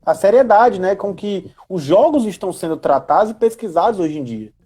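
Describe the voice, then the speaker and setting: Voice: medium pitch, lively intonation, normal speed, warm tone, breathy edge, soft background music. A Brazilian speaker explaining things as a guest expert in a casual live-stream discussion, in an emphatic, didactic way that draws out key words. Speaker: guest expert on role-playing games in education.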